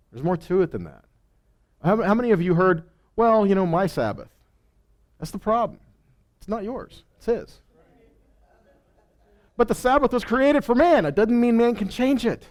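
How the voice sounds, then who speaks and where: A man's voice: high voice, lively intonation, moderate pace, pauses often, darkish tone. A man giving an informal sermon as a Bible teacher, emphatic and often checking in with listeners through short rhetorical questions. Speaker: preacher and Torah teacher.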